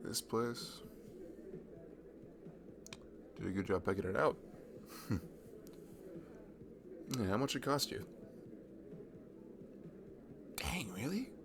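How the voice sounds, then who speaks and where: A man plays a young college guy in an intimate audio roleplay, friendly and easily flustered. His low voice crackles with fry and swings expressively. He stammers and pokes fun at himself at a slow overall pace. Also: lively intonation. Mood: relaxed, amused. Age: young adult. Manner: hesitant, stammering, self-deprecating. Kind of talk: naturalistic ASMR roleplay acting.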